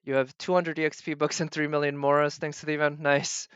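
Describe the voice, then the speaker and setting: A woman giving casual livestream game commentary, thinking aloud in halting fragments as she plays. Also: deep voice, flat delivery, clear, resonant tone, dry recording, dull audio. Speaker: game streamer.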